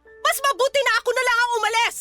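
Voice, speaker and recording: A woman's voice: very high voice, steady tone, quick pace, bright, forceful tone, soft background music. A woman playing a hurt, defiant young wife in a Filipino radio drama, speaking emotionally and bluntly with a Filipino accent. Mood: bitter, angry. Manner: emotional, pleading, outspoken.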